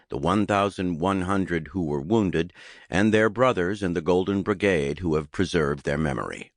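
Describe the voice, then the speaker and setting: Male nonfiction narrator, low register, measured and deliberate, recorded close and dry. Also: dry recording. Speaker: audiobook narrator. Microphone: close studio microphone.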